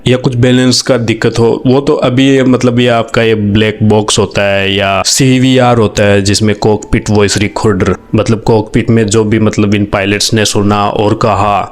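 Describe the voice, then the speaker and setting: A man with an Indian accent gives explanatory news commentary in a halting way, often rephrasing and adding asides. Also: on the low side, little pitch movement. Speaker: video narrator explaining a news event. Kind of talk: explanatory commentary, semi-scripted, mixing Hindi and English.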